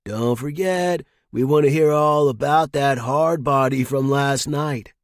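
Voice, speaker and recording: A man voicing a brash young party buddy in audio fiction, loud and slangy, teasing and egging a friend on. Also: mid-range voice, dry recording, clean studio sound, voice pushed up, lightly acted. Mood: coaxing. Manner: shouting over crowds, slangy, drawn-out words. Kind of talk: audio fiction character acting.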